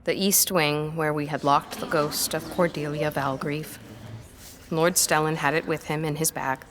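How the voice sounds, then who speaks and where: An actress playing an uneasy woman of a gothic household, speaking formally and with measured suspense in an audio drama, over music. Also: sits low, fairly even intonation, crowd noise. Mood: guarded, pleading. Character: haunted gothic household woman recounting a ghostly secret, uneasy. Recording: dramatic music bed behind voice.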